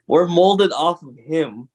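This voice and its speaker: A young man co-hosting a podcast, chatting casually and animatedly, laughing often. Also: fairly high pitch. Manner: animated, laughing, does mock call-out voices. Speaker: podcast co-host. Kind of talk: casual chat.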